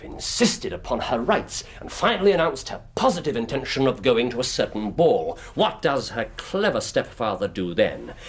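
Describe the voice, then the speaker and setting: An actor playing a shrewd, scornful Victorian detective in a British radio drama, English accent, speaking with precise, theatrical delivery. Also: male voice, medium pitch, slightly rough, heavily acted.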